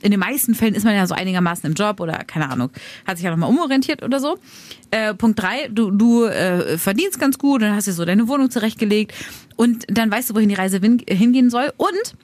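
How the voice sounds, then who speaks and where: Quick, dry-sounding woman in her thirties. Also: mid-range voice, lively intonation, darkish tone, dry recording. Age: 30s. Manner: quick, emphatic, repeats words. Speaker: radio host. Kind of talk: casual podcast chat.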